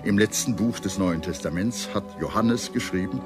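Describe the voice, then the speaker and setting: Male documentary narrator, lowish register, solemn scripted history read, measured and dramatic, light music bed.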